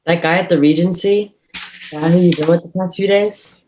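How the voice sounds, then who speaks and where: A man's voice: high voice, fairly even intonation, normal speed, a little mellow, a little hiss or hum, lightly acted. A man acting a reserved, skeptical son in a dramatic table read.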